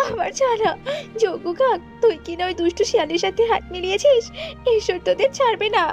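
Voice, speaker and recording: A woman's voice: very high-pitched, fairly quick, a little mellow, pitched above the performer's own voice. A woman voicing a grieving, anguished mother myna bird in a children's cartoon. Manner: wailing, anguished. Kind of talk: children's animated folk-tale acting. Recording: dull lo-fi audio with music behind.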